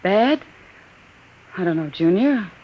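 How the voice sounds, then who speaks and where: A woman's voice: mid-range voice, lively intonation, slow, a little hiss or hum. An actress playing a nervous, fretful gangster's girlfriend in a 1950s radio drama, with a breathless manner. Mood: uneasy. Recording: dull lo-fi old radio recording.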